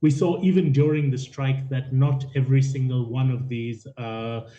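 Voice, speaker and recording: A man speaking as an expert guest on the news, explaining off the cuff in a measured, hesitant way with repeated words. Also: medium pitch, steady tone, warm tone. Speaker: aviation consultant, interview guest. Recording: dull, lo-fi remote link.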